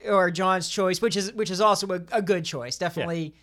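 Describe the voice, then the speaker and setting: A woman's voice: lowish voice, fairly quick, a bit bright. A woman chatting casually as a podcast panelist, often repeating words and restarting her phrases as she argues a point. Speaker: podcast panelist debating games. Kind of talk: casual chat.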